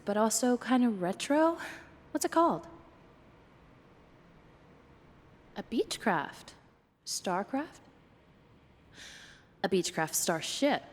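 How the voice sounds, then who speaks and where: A woman's voice: fairly high pitch, lively intonation, slow pace, long pauses, darkish tone. Playfully sarcastic woman acting a flirty date, long reverb. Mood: teasing, sarcastic. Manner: playful, dryly sarcastic, hesitant asides. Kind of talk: ASMR roleplay, one-sided dialogue.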